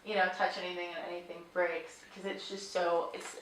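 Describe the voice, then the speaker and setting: A woman's voice: lowish voice, flat delivery, fairly quick, a little hiss or hum. A woman from New York with a New York accent, interviewing someone in a showroom in a casual, hesitant, chatty way. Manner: chatty, hesitant, laughs easily. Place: glassworks showroom. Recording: echoey room, voice a bit far from mic.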